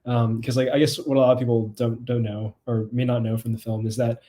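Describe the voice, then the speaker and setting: Young man, mellow and halting. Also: medium pitch, level tone. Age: young adult. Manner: halting, repeats words. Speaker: documentary film subject on a Q&A panel. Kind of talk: casual panel chat. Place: small room at home, video call.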